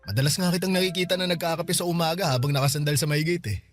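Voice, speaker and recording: A man's voice: on the high side, steady tone, very fast, faint music behind, close to an everyday voice. An actor playing a friendly, modest and brave male neighbour in radio drama dialogue, with an easygoing, chuckling way of talking.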